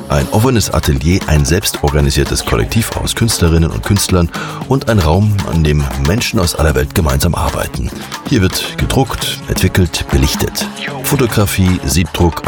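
A man's voice: a bass voice, sing-song, moderate pace, music in the background. A man working as a radio host, narrating and interviewing for a series.

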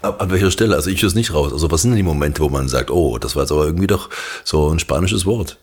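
Man as interviewer, extremely deep husky voice, curious probing questions. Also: racing, darkish tone.

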